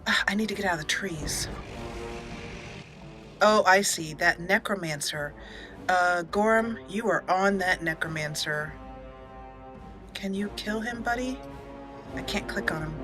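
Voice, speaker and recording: A woman giving casual, excitable commentary while playing a video game, talking to the characters as she goes. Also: on the low side, unhurried, pauses often, faint music behind. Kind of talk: casual let's play commentary.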